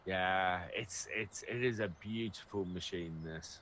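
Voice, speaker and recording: A man's voice: low voice, a little slow, a bit bright, a little hiss or hum. A man co-hosting a gaming video, chatting casually in role-play with his partner. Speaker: co-host of a gaming video series.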